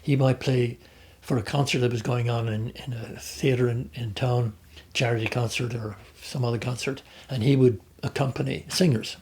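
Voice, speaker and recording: An older man with an Irish accent, talking about his life at an unhurried pace, often pausing to restart his sentences. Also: mid-range voice, steady tone, darkish tone. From Ireland. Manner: hesitant, with many restarts and fillers. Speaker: interviewee. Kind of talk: reflective conversational storytelling.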